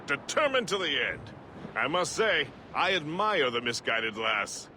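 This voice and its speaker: An actor playing a courteous, wry first mate in a video game, with a formal and genteel way of speaking. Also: male voice, on the high side, big pitch swings, a little slow, sharp tone, heavily acted. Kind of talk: video game cutscene acting. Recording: noisy game audio with ship ambience.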